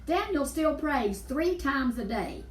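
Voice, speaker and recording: A woman's voice: high-pitched, expressive, takes it slowly, voice pushed up. A woman reading a children's storybook, playing a jealous adviser with an insistent, accusatory manner. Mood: accusing. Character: scheming, jealous wise men accusing a rival. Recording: echoey room, distant mic.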